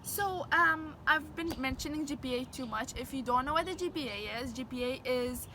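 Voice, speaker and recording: A high-pitched young woman in her late teens, Arabic her first language, gives earnest advice with a few restarts. She talks outdoors, and loud wind and passing cars rush under her voice. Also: moderate pace. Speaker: vlogger. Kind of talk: casual advice vlog. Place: outdoors on a windy day. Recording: wind and traffic noise outdoors. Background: wind and passing vehicles.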